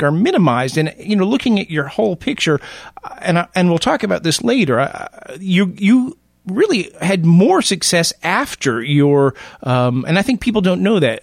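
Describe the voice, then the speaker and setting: A man's voice: fairly high pitch, dry recording, clean studio sound. A male radio host interviewing a guest, asking long, enthusiastic questions.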